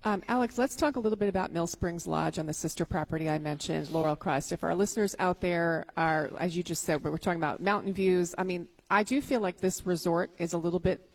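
A woman's voice: on the low side, brisk, few pauses, low-quality recording. A woman co-hosting a radio show, chatting casually as she interviews. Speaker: radio co-host.

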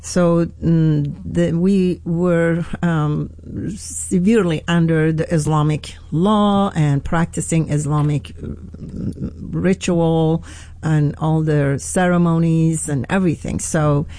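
Iranian accent lady pastor on radio, mellow voice, dry mic, lots of restarts, earnest. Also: sits low, steady tone, no echo. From Iran. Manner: halting, earnest, many restarts. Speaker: pastor, guest. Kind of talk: radio interview.

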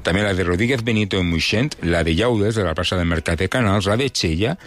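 Man, very low voice, relaxed local radio news, chatty with fillers. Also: fairly quick, no room sound. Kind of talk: casual radio news roundup.

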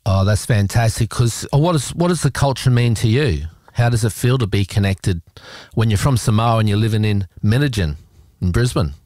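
A man's voice: on the low side, moderate pace, darkish tone, dry recording, studio quality. A man with an Australian accent presenting a radio show and interviewing in a casual, rambling, jokey way.

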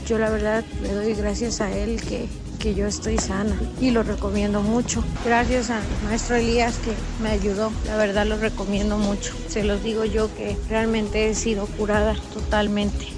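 A woman's voice: medium pitch, level tone, normal speed, few pauses, low-quality recording. A woman in her thirties giving an earnest recorded testimonial, often repeating the same phrase for emphasis. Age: 30s. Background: music.

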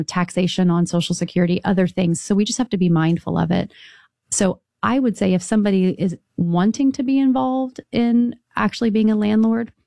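Low gravelly-voiced woman advisor. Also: dark tone, no room sound, clean studio sound. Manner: explanatory, conversational, with restarts. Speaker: financial advisor, guest. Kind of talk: radio call-in advice chat.

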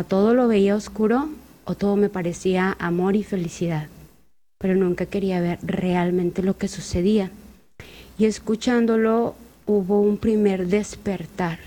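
A woman shares her personal testimony as a panel guest. Her delivery is reflective and heartfelt, at a middle pitch. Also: warm tone. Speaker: Al-Anon member, guest on panel.

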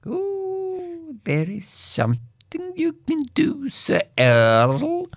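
Man as old goblin, once mortal, mournful and whispery, slow and sing-song. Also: mid-range voice, sing-song, no echo, clean studio sound, heavily acted. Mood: whispering. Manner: archaic, solemn, breathy whispering at times.

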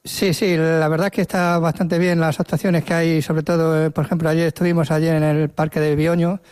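A man's voice: a little high, flat delivery, brisk, darkish tone, clear, resonant tone. A man from Ciudad Real with a La Mancha accent, a parent chatting hesitantly in an interview. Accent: La Mancha Spanish. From Ciudad Real, Spain. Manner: hesitant, rambling, with restarts. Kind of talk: casual on-the-spot interview.

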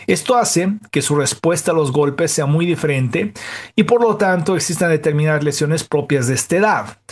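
A man with a somewhat higher voice hosts a medical talk show. He delivers health information in a measured, even way and then welcomes his guest warmly. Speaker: radio show host, doctor.

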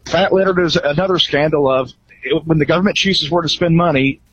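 A man's voice: fairly high pitch, brisk, slightly rough, dry recording. A man calling in to a talk radio show, talking in a rambling, hesitant way with many fillers, heard over a dull phone line. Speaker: caller.

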